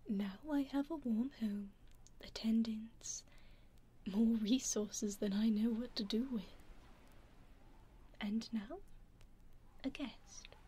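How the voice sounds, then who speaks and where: A British woman playing a centuries-old vampire lady, aloof yet caring, in a breathy, hushed whisper close to the microphone for ASMR roleplay. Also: a little high, slight background noise. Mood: gentle. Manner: hushed, unhurried, intimate. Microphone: close binaural microphone.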